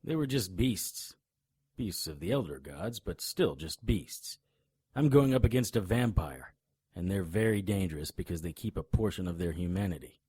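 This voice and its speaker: Husky, low-voiced hard-boiled monster hunter. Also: male voice, lively intonation, moderate pace, dark tone, dry recording, clean studio sound. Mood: grave, urgent. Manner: tough, wisecracking. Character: hard-boiled male monster hunter, grim, cocky, threatening. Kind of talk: audio drama acting with noir first-person narration.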